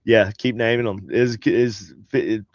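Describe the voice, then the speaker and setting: A low-voiced man co-hosting a casual paranormal chat, sounding stuffed up and nasal from a head cold. He rambles and stops himself often as he searches for things. The sound is dry and a little dull, like a lo-fi home setup. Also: fairly even intonation, dry recording. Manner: rambling, halting, many fillers. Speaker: podcast co-host. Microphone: home desk setup on a video call.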